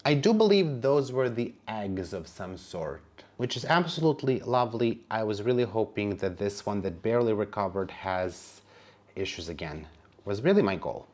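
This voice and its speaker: A man's voice: fairly low pitch, animated, moderate pace, a little mellow. A man whose first language is Serbian, narrating a casual plant vlog in a chatty, wry manner with sassy asides. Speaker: plant vlogger.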